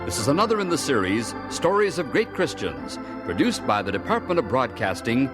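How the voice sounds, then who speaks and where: A male radio announcer delivers a scripted closing in a mid-pitched voice. His intonation rises and falls widely, and loud organ music plays behind him. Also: big pitch swings, fairly quick.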